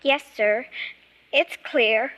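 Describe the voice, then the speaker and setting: A woman's voice: on the high side, extremely slow, over loud music. An actress playing a desperate, insistent young girl in an old-time radio drama, pleading with breathless urgency. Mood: subdued. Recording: noisy old radio recording.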